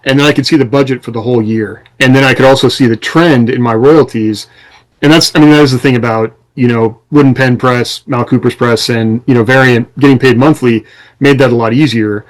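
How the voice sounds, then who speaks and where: A man's voice: medium pitch, fairly even intonation, brisk, poor audio. A man talking casually as a podcast co-host, explaining things in a rambling way. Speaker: podcast co-host and self-employed writer.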